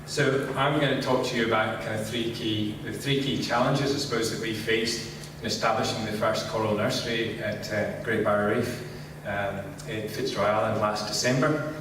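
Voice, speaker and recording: A middle-aged man from Scotland with a Scottish accent, giving a relaxed conference talk and saying "kind of" constantly. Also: on the low side, normal speed, background noise. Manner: relaxed, filler-heavy, says "kind of" constantly. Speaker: conference speaker. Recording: echoey hall, distant mic.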